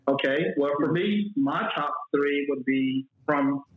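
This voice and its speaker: A man's voice: fairly high pitch, slow pace. Man from Mississippi, tinny and echoey. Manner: repeats words, loose and rambling. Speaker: guest, flight attendant. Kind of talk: casual interview talk. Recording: echoey room, phone-like audio.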